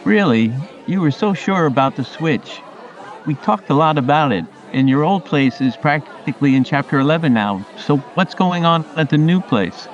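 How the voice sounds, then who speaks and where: A man's voice: a little high, expressive, moderate pace, highs cut off, some chatter behind, natural voice. A man playing a supportive, pragmatic friend in a radio play, questioning and advising conversationally. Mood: concerned, probing. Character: Jerry, supportive, pragmatic male friend giving advice.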